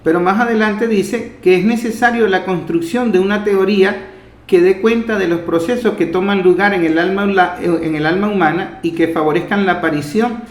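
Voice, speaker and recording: A man with a fairly high voice and a Venezuelan accent speaks in an echoey room. As the interviewer he talks discursively, building long and earnest reflections on the way to his question. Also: moderate pace. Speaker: interviewer, host. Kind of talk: discursive political interview talk.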